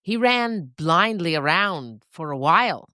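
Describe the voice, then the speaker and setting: A woman reading a scripted story as narrator, with dramatic, suspenseful and deliberate phrasing. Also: on the low side, animated, bright, forceful tone, no echo, clean studio sound.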